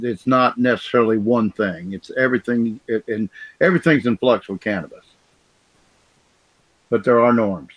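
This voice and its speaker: A man's voice: medium pitch, dry recording, muffled recording. A man with a big voice speaking as a guest on a casual podcast, enthusiastic and rambling, on his own mic. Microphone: own separate mic.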